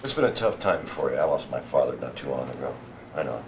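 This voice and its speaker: An actor playing a measured, cautious, conventional heart doctor in naturalistic drama dialogue. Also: male voice, extremely low pitch, animated, vocal fry, a little hiss or hum, exaggerated voice. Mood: sympathetic.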